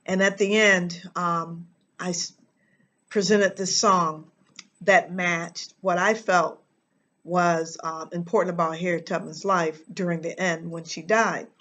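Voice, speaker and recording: Slow, emphatic American woman teaching. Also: fairly low pitch, fairly even intonation, a bit bright. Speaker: reading teacher and channel host. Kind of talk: instructional talk to camera.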